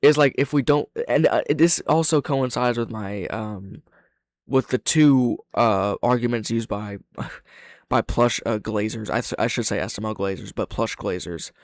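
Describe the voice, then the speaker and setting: A man's voice: medium pitch, unhurried, no echo, clean studio sound. A man reacting off the cuff as a reviewer, blunt and opinionated, swearing freely as he talks. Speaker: reaction host and video reviewer. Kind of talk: casual off-the-cuff reaction commentary.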